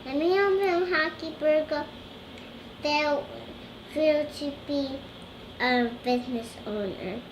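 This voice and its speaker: Young girl, high voice, halting storybook read-aloud, stumbles and repeats, echoey noisy room. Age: child. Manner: hesitant, stumbling over words, repeating phrases. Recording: roomy echo with clear background noise.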